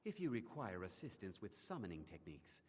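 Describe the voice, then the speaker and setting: A man's voice: deep voice, very animated, fairly quick. A man voicing a formal, courteous mage in a video game. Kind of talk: video game dialogue acting. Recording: long hall reverb, faint noise.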